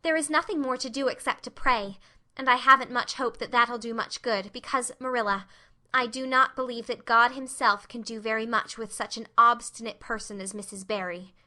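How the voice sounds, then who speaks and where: A woman voicing an excitable, dramatic young orphan girl who talks in big words, in a storybook reading. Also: a little high, moderate pace. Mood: indignant.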